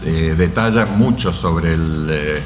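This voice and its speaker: A man answering audience questions after a lecture, slow and halting with many fillers. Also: rumbling low, roomy sound, background noise. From Argentina. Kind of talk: academic Q&A answer.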